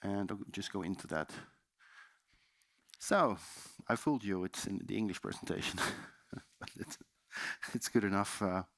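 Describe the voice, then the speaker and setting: A man's voice: on the low side, moderate pace, fairly bright tone, dry recording. A man with a Dutch accent giving a loose, chatty seminar presentation in a conference room, with many fillers and restarts. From Netherlands. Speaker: conference speaker.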